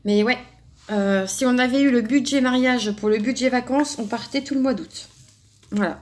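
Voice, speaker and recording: A woman's voice: on the high side, moderate pace, darkish tone. A young woman from France chatting casually to her subscribers, rambling with lots of fillers and restarts as she answers questions. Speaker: craft YouTuber answering a subscriber tag. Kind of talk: casual chat to camera.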